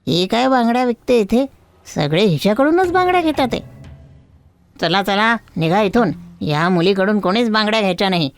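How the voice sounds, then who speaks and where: A woman voicing a blustering, bossy male market trader in an animated moral story. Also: medium pitch, a little fast, natural voice. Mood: bullying. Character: bullying male market traders, loud and threatening.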